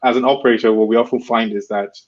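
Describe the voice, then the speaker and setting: A man on a panel talking quickly, with many restarts. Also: medium pitch, fairly even intonation. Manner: fast, halting restarts. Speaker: founder, panelist. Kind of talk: panel discussion. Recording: dull lo-fi audio.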